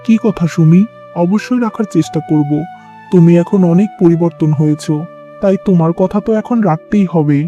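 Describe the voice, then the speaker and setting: A man's voice: high-pitched, normal speed, mellow, faint music behind, lightly acted. A man voicing an agreeable, earnest young husband in a cartoon, with dull lo-fi sound. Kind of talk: Bengali moral-story cartoon dubbing.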